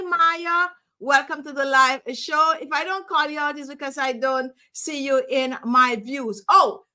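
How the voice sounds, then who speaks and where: A middle-aged woman with a Jamaican accent chats to her live-stream audience from a room at home. Her voice sits high and sounds bright and clear. She talks in a chatty, animated way into a desk webcam. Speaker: beauty channel host. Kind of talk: casual live-stream chat.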